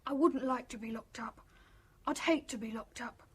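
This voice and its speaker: An actor playing an excitable, childlike young man with a naive, eager, morbid fascination, in a radio drama. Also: mid-range voice, normal speed, husky, lightly acted. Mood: defiant, gleeful. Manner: eager, naive, giggly, repeats questions back.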